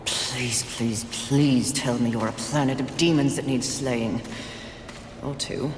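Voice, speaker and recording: Slow, haughty warrior woman. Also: a bass voice, steady tone, noisy background. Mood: bored, sardonic. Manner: arrogant, dry, theatrical. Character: haughty, impatient, sardonic female warrior horseman of the apocalypse. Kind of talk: dramatic video game cutscene acting. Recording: echoing long reverb, music behind.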